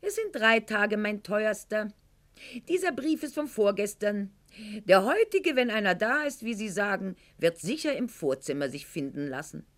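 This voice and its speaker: A woman's voice: mid-range voice, animated, normal speed, natural voice. An actress playing a proud, sentimental aristocratic lady of Goethe's era in a radio drama, with a mannered, articulate, declamatory delivery. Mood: cool, pointed. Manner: mannered, articulate, declamatory, swinging to hushed confiding tones. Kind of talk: theatrical radio drama monologue.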